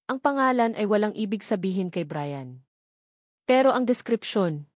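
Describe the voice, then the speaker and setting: A woman's voice: medium pitch, expressive, moderate pace, no echo, clean studio sound. A woman reading a scripted, dramatic romance story as narrator.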